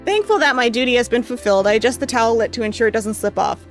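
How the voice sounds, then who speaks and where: Girl streamer reading a game out loud, kinda high voice, getting hoarse, lots of "like" and "um", quiet music behind. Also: lively intonation, very fast. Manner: casual, rambling, heavy on fillers. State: losing her voice, coughing. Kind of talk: reading a visual novel aloud and chatting with viewers.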